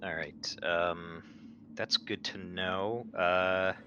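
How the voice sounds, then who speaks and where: A man's voice: sits low, steady tone, drawn-out words, bright, forceful tone. A man playing a tabletop roleplaying game, chatting casually about what his character will do. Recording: dull lo-fi audio, faint noise.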